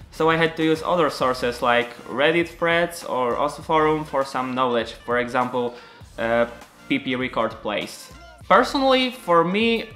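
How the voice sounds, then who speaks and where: A man's voice: a little high, pushed and bright. Young Polish-accented man, earnest narration. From Poland. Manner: earnest, slightly halting second-language delivery with frequent fillers. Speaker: animator and channel creator. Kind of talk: casual making-of narration.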